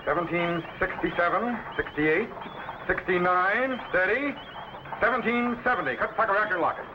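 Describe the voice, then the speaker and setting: Time-machine captain, male actor, instructive and commanding, slightly high voice, fifties radio serial, muffled sound. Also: moderate pace, close to an everyday voice. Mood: commanding, focused. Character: authoritative time-machine captain, brisk explaining mentor. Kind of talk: 1950s radio sci-fi acting. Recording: noisy muffled old radio recording.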